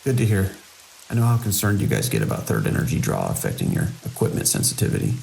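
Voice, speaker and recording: An actor as a seasoned night-watch security chief, very low and full of gravel. He is wry and world-weary in this audio drama, measured but with lively inflection, over faint hiss. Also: exaggerated voice, low-quality recording. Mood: businesslike. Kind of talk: audio drama dialogue and log monologue. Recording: faint hiss and rain-like noise.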